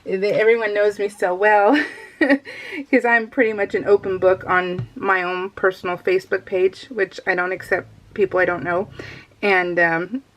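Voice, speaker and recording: Middle aged lady doing a craft vlog, super chatty, kinda giggly, keeps wandering off track, medium pitch. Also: fairly even intonation, measured pace, a bit bright, slight background noise, low-quality recording. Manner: chatty, giggly, easily sidetracked. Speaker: craft vlogger. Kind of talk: casual chat, rambling vlog.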